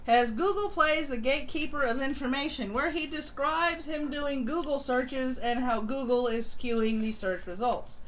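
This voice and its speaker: A woman's voice: high voice, normal speed, hardly any pauses, low-quality recording. A woman co-host giving casual commentary on a live stream. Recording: home live stream with background noise.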